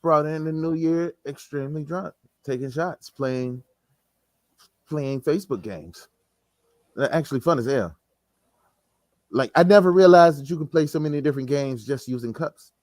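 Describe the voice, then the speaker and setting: A man's voice: fairly high pitch, moderate pace, pauses often, a little mellow, dry recording. A man co-hosting a podcast, chatting casually in a slangy, profane, joking way. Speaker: podcast co-host. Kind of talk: casual chat.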